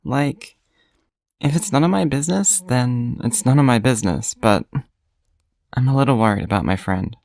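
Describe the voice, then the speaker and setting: A woman acting a concerned, hesitant friend leaving a gentle, casual voicemail in an audio drama. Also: very deep voice, lively intonation, normal speed, pauses often, no room sound, studio quality. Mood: worried, tentative.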